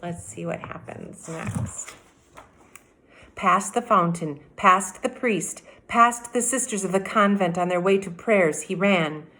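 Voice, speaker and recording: A woman's voice: lowish voice, slow pace, fairly bright tone, slight background noise, low-quality recording. A woman in her fifties, a teacher reading a storybook aloud to children, dramatic, with explanatory asides. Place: small room at home, by a window.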